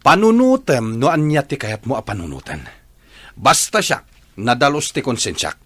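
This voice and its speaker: A man's voice: mid-range voice, very animated, normal speed, a bit bright, breathy edge. A Filipino-accented man playing a brusque, evasive, domineering husband in a radio drama, defensive and indignant. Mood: evasive, irritated. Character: irritable, evasive, domineering cheating young husband.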